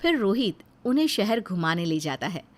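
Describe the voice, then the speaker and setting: A woman's voice: medium pitch, sing-song, normal speed. A woman narrating a scripted moral story as its storyteller.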